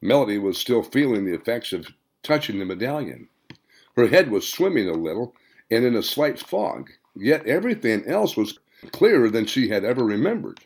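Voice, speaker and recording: A man's voice: medium pitch, darkish tone. A man reading a scripted story aloud as an audiobook narrator. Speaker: author reading own audiobook.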